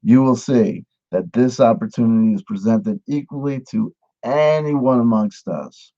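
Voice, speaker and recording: A man giving a satirical mock lesson, with a mock-earnest pitchman delivery. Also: measured pace, warm tone, dull audio. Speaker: mock instructor. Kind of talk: satirical monologue.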